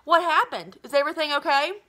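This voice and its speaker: A woman reader takes the part of a naive teenage boy in a storybook. His voice is high and bright. He sounds earnest and anxious, pressing his point. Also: fairly quick. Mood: worried. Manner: anxious, insistent.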